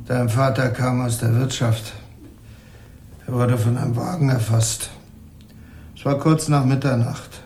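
An actor playing a worn-out older uncle who talks slowly and quietly. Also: male voice, medium pitch, fairly even intonation, natural voice. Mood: weary. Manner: slow, tired, subdued. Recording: roomy echo, faint noise.

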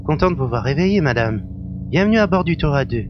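A man's voice: on the high side, expressive, fast talker, over music, lightly acted. A man playing a courteous young doctor aboard a spaceship in an audio drama. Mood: courteous, attentive. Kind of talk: French audio drama acting. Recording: dull lo-fi sound with humming noise.

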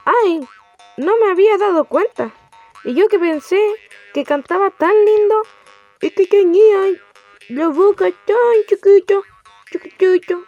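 Girl doing a vain lying fox, chilean accent, high mellow whiny voice, school radio play. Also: a little slow, lo-fi sound, faint music behind. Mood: wistful, eager. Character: vain, deceitful male fox who loves to sing.

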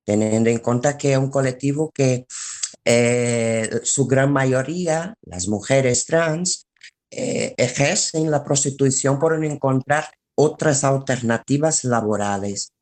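An interview guest explaining a collective's work in halting phrases with repetitions. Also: mid-range voice, darkish tone. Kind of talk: spoken radio interview, explaining.

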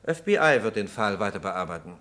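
Officious, condescending federal agent. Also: male voice, lowish voice, big pitch swings, brisk, fairly bright tone. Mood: brisk, official. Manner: clipped, formal, condescending. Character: curt, officious, self-assured male FBI agent. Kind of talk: vintage German radio crime drama acting.

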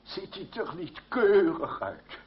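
Slow, flustered old majordomo. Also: male voice, high-pitched, sing-song, heavily acted. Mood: deferential. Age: older. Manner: deferential, flustered, halting. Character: devoted, deferential old majordomo, flustered family servant. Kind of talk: radio drama acting. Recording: dull, noisy old radio recording.

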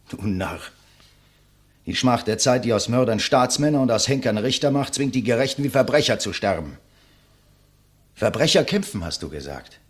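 An actor playing a proud, scornful condemned intellectual in a 1950s German radio play, declamatory and contemptuous. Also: male voice, mid-range voice, breathy edge. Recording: vintage 1959 radio recording.

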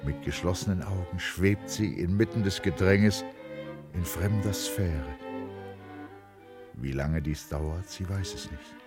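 A man's voice: low voice, slow pace, over music. A man narrating a literary radio play in a scripted, storytelling style.

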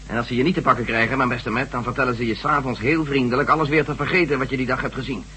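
A male actor plays the hero's sceptical sidekick in a radio drama. He talks quickly and bluntly in a mid-pitched voice. Faint hum and noise colour the old recording. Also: fairly even intonation, bright, forceful tone, lightly acted. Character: sceptical, outspoken male companion of the hero. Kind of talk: Dutch radio drama acting.

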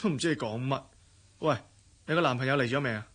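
An actor with a Hong Kong Cantonese accent playing an easygoing young adult elder brother in a radio drama, wry and reflective. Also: male voice, medium pitch, fast, fairly bright tone, slightly rough. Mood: wry, teasing.

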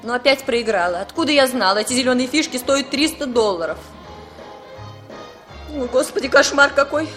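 High-voiced, impulsive gambling wife. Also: female voice, lively intonation, moderate pace, a bit bright, faint music behind. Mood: anxious, pleading. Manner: capricious, pleading. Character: impulsive, flighty wife carried away by gambling. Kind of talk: 1960s Soviet radio play acting.

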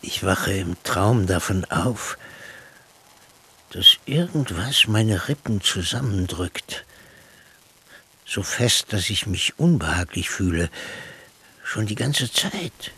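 Slow, husky-voiced pensive man. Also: lowish voice, animated, bright, forceful tone, exaggerated voice. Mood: uneasy, dreamlike. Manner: measured, reflective, cerebral. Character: pensive man musing on mind, matter and dreams. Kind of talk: literary radio play monologue.

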